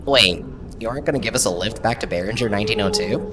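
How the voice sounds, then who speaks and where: A man voicing an earnest, exasperated young crew member in a comedy audio drama, expressive and incredulous. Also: on the low side, fast, a little hiss or hum.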